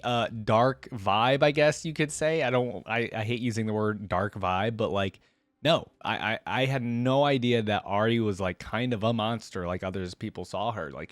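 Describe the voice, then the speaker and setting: A man hosting a reaction video, reading story text aloud and commenting in an informal, thinking-out-loud way. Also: medium pitch, no echo.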